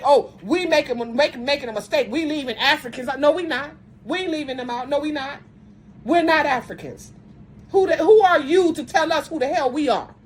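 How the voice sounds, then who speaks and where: A fairly high-pitched woman delivers a heated rant into the camera. She is blunt and confrontational, repeating herself and tripping over restarts as she presses her point. A faint hiss of noise sits under her voice. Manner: says she has a strong voice. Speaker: video commentator. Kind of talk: angry rant addressed to camera.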